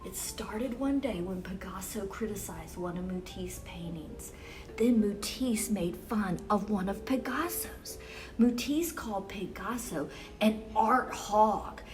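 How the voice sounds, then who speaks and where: Woman, children's story time, slow measured pace, expressive emphasis, exclamatory, soft music behind. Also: medium pitch, recorded from a distance. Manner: expressive, exclamatory, dramatic emphasis on key words. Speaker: children's storyteller and event host. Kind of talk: animated children's picture-book read-aloud. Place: University museum gallery.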